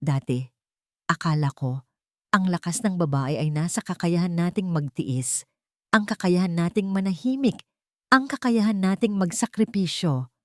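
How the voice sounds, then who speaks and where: A woman's voice: fairly low pitch, moderate pace, darkish tone, no room sound, studio quality, close to an everyday voice. A woman voicing a thoughtful, once long-suffering woman confiding in a friend in an audio story, reflective and emphatic. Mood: reflective, hopeful.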